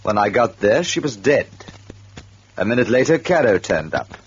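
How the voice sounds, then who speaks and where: A man's voice: on the low side, sing-song, moderate pace, husky, exaggerated voice. An actor playing an embittered, defensive man accused of murder, insistent and sardonic, in a radio drama. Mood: bitter, pressing. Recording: dull lo-fi radio recording.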